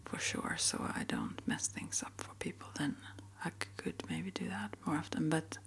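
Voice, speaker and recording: A woman speaking in a gentle, hesitant, rambling way, as an ASMR creator chatting to her viewers. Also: sits low, huge pitch swings, normal speed, creaky. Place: small upstairs apartment.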